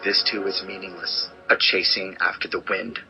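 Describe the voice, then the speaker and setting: A man's voice: deep voice, monotone, creaky, dull audio, soft background music. An actor playing a world-weary, wise old king in a solemn, theatrical dramatized scripture reading. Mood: solemn, subdued. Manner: solemn, deliberate, theatrical delivery. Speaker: audio Bible narrator and actor.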